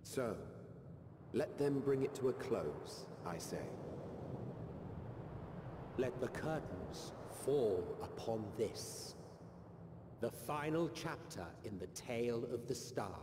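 A man's voice: medium pitch, lively intonation. An actor playing a grave, theatrical male storyteller narrating an epic tale in a video game. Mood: solemn, portentous. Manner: slow, solemn, theatrical. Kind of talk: scripted dramatic narration. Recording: long echoing reverb, noisy ambience behind.

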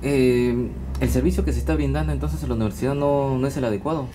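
A man with a Peruvian Spanish accent interviewing calmly, murmuring agreement often. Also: mid-range voice, fast talker, background noise. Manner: calm, frequent backchannel murmurs. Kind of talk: interview questions.

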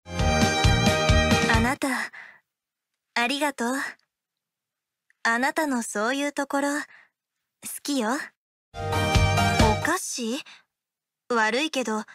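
Poised young woman athlete, slow-spoken. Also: high-pitched, a bit bright, faint music behind, exaggerated voice. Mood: composed, poised. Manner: composed, slow, ladylike. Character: poised, self-disciplined young woman athlete, cool but kind. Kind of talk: mobile game voice acting.

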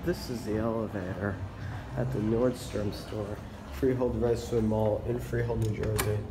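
A man's voice: lowish voice, flat delivery, background noise. A young man narrating his own handheld walkthrough of a mall elevator, admiring the fixtures and remarking to himself as he goes. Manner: enthusiastic, slow and remarks to himself. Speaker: elevator video channel host. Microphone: handheld phone camera. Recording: echoey elevator with faint ambience.

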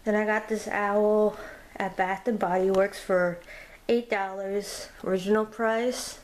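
A woman filming a casual tour of her home and naming each item as she goes, pausing and restarting often. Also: mid-range voice, steady tone. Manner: halting, with frequent ums and restarts. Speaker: vlogger giving a home decor tour. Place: inside a home, walking room to room. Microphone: handheld camera held by the speaker.

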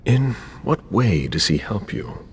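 An actor voicing a guarded, curt and composed vampire agent in a video game, with a low, gravelly, husky voice. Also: sing-song, takes it slowly, slight background noise, heavily acted. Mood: guarded, probing.

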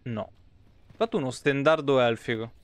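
A man's voice: medium pitch, normal speed, no room sound. A man, giving blunt, wry, sweary live commentary as he streams a video game. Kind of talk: casual live gameplay commentary.

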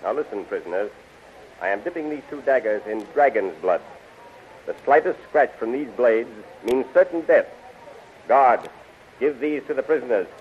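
A man's voice: mid-range voice, lively intonation, moderate pace, lightly acted. A man playing a stern, commanding alien prince in theatrical 1930s radio serial style. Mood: menacing, stern. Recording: muffled, hissy 1930s radio recording.

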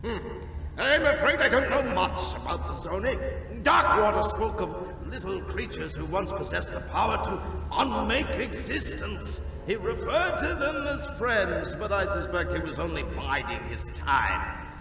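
A man's voice: high voice, very animated, measured pace, heavily acted. A man playing a genial, fussy alien island official in a video game, speaking in a theatrical, courtly way. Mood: storytelling, intrigued. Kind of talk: video game character acting. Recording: echoey hall, distant, under loud music.

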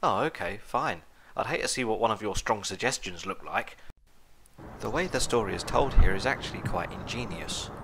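Low-voiced sardonic man narrating a review. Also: animated, moderate pace, gravelly, noisy background. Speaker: video reviewer. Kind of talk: scripted comic review narration.

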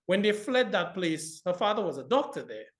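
A man's voice: sits high, very animated, fairly bright tone. Reflective Caribbean man, film expert. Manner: expansive, reflective, quick laughs. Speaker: podcast guest, film expert. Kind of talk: casual chat.

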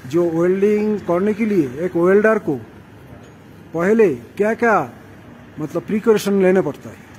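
A man's voice: high-pitched, animated, a little slow, a little mellow, a little hiss or hum, rough recording. A man interviewing workers off camera, asking long, polite questions about safety.

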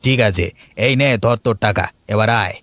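A man's voice: on the low side, a little fast, fairly bright tone, no echo, close to an everyday voice. A man voicing a friendly, sympathetic village shopkeeper who chats and advises like an elder brother in a cartoon. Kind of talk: cartoon story dialogue.

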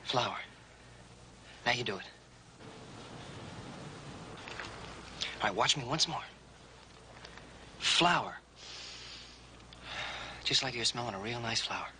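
A patient, coaxing teacher. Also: male voice, mid-range voice, animated. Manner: coaxing, repeats single words slowly. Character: patient man teaching a deaf child. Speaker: sign language teacher. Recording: loud noisy film soundtrack.

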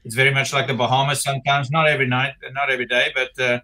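A man in his sixties with a South African accent, chatting as an interview guest over a video call from his home office, rambling and relaxed with many fillers and restarts. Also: medium pitch, racing. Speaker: interview guest, retired tennis player. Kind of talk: casual interview chat. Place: home office with framed pictures.

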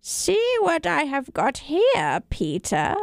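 A woman voicing a mysterious old woman in a storybook, speaking slowly and deliberately with solemn warning. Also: high-pitched, wildly swooping pitch, no echo, studio quality, pitched above the performer's own voice, exaggerated voice. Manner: slow, drawn-out, deliberate.

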